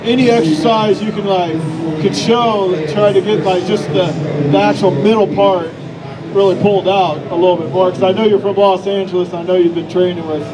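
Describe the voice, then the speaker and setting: A man's voice: high-pitched, steady tone, fairly quick. A man in the audience asking a long question, hesitant and full of fillers and restarts. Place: large expo hall. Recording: distant voice over loud hall noise.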